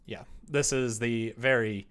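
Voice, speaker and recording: A man's voice: medium pitch, fairly even intonation, measured pace, fairly bright tone, clean tone. Casual young man thinking aloud on stream. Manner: self-deprecating, informal. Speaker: livestreamer coding a game. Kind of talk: casual livestream chat, thinking aloud while working. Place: indoors, small room at a computer.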